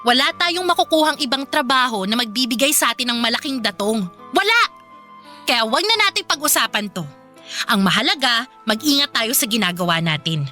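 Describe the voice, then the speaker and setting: A woman's voice: fairly high pitch, expressive, fairly quick, bright, forceful tone. An actress playing a brash, streetwise young Filipina girlfriend in a radio drama, assertive and exasperated. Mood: insistent. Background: faint music.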